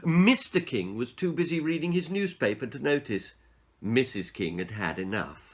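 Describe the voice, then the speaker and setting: A man's voice: medium pitch, expressive, normal speed. A man reading a children's horror-comedy story as its author, in a theatrical, suspenseful narrating style.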